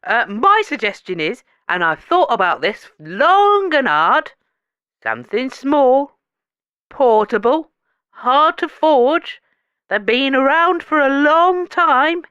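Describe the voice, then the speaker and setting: Casting: high, bright, earnest woman, played by an actress, deliberate then excitable, radio comedy. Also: no room sound, muffled recording, full character voice. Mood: earnest, excited.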